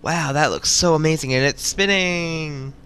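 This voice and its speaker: A man giving casual, excitable, rambling commentary while playing through a video game. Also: a little high, unhurried, clean tone. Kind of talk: casual let's-play commentary.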